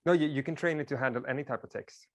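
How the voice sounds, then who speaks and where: A man's voice: mid-range voice, very fast. A man whose first language is Swedish, giving a casual classroom lecture and explaining things with frequent "like" fillers.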